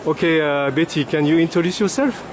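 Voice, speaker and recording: A man's voice: on the high side, a little fast, crowd noise, noisy background. A man hosting a casual vlog outdoors at a beach, upbeat and repeating a catchphrase. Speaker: vlog host. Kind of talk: casual promo chatter.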